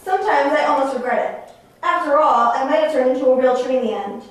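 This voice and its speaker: A woman acts a defiant spirit servant in a stage puppet play, yearning to be free. The voice is high and theatrical, echoing heavily from a distant microphone. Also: fast, lightly acted. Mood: resentful, wistful. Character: weary, reluctant spirit servant longing for freedom. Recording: very echoey room, distant microphone.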